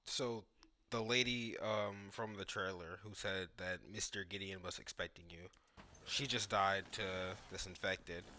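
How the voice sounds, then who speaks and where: A man's voice: low-pitched, steady tone, measured pace, pushed and bright. A man giving casual, analytical commentary on video game footage.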